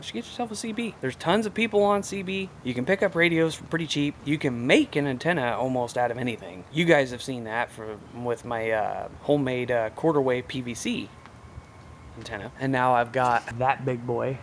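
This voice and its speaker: A man's voice: mid-range voice, slight background noise. Rambling folksy man showing off radios. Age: adult, married father. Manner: rambling, folksy, talks to viewers directly. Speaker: radio hobbyist vlogger. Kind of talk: casual show-and-tell vlog. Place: indoors at home.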